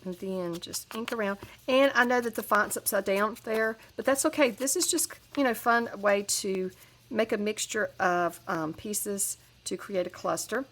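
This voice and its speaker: A woman's voice: medium pitch, a little slow, fairly bright tone. A woman with a head cold, chatting casually through a crafting tutorial with lots of fillers and restarts. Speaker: crafting channel host.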